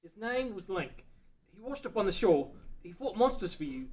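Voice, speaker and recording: An earnest messenger owl, acted by a woman, pleading in a mid-range voice. The delivery swings widely in pitch, in the manner of a fantasy read-aloud. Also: very animated, moderate pace, a little mellow, close to an everyday voice.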